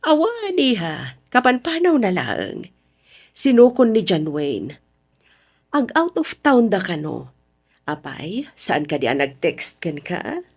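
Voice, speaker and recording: A woman playing a fond, chatty middle-aged mother in a Filipino radio drama, expressive and drawn out. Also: mid-range voice, takes it slowly, husky, heavily acted. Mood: fussing, welcoming.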